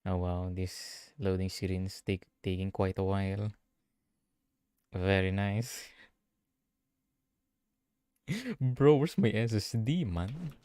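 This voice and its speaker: A man's voice: deep voice, slow, pauses often, warm tone, clear, resonant tone, dry recording, studio quality. A man from the Philippines, giving offhand, slangy live gaming commentary over game music. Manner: offhand, slangy, reacting aloud. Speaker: VTuber game streamer.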